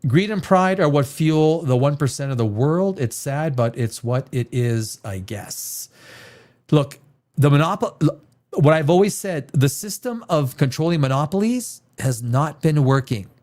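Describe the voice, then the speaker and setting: A man's voice: on the high side, lively intonation, a little slow, darkish tone. A man hosting a livestream chat, blunt and profane, hammering his points with repetition. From Canada. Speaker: livestream host.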